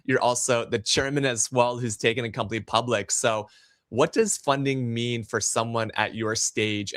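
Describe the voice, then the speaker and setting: A man's voice: medium pitch, a bit bright, dry recording, clean studio sound. A man hosting a live-streamed business interview show, enthusiastic and effusive as he welcomes his guest. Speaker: podcast host.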